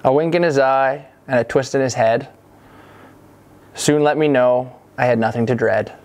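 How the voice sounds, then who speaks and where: Guy reading out a christmas poem, mid pitched voice, measured storybook phrasing. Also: a little mellow. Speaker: reader of a Christmas poem parody. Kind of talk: scripted verse narration.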